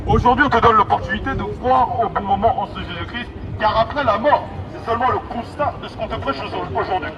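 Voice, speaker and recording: A man's voice: high-pitched, brisk, hardly any pauses, a crowd in the background. A man street evangelist giving an exhorting, repetitive open-air sermon in emphatic phrases through a megaphone. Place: outdoors in an urban public street. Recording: dull, distant megaphone sound, loud street noise. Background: traffic and city ambience.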